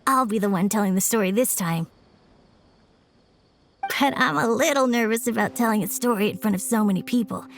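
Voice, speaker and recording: A woman plays a thoughtful little boy in a video game. The voice is on the high side, earnest and reflective, with a faint noise behind it. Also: a little fast, pauses often, close to an everyday voice. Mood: earnest, curious. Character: thoughtful young boy, an aspiring storyteller.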